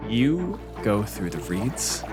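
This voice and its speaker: A man's voice: lowish voice, sing-song, slow. A man narrating an improvised tabletop roleplaying game as game master, in a casual, sweary way. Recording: music playing behind the voice. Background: background music.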